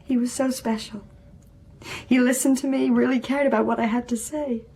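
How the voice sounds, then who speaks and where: An actress playing a grieving young female student in a radio drama, earnest, hurt and guarded, with a breathy voice. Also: a little high, steady tone, moderate pace, dark tone, slight background noise. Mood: hurt, wistful. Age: young adult. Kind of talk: naturalistic radio drama acting.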